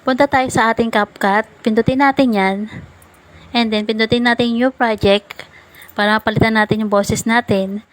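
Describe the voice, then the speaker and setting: High-voiced Filipino woman giving a tutorial. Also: fairly even intonation, normal speed, low-quality recording. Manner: instructional, mixes Tagalog with English phrases. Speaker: tutorial host. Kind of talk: casual step-by-step app tutorial voice-over.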